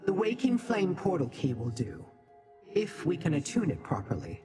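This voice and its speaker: An actress playing an imperious, scholarly demon sorceress in a video game, speaking formally and with scorn for mortals. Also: female voice, on the low side, very animated, over music. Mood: haughty, resolute. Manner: formal, measured, haughty delivery.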